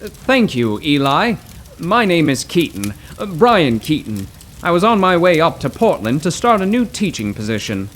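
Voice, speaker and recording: An actor playing a polite, earnest, bewildered 1930s poetry professor, halting and formal, in an audio drama. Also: male voice, a little high, very animated, fairly bright tone, a little hiss or hum. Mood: polite, puzzled. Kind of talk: audio drama acting in 1930s period setting.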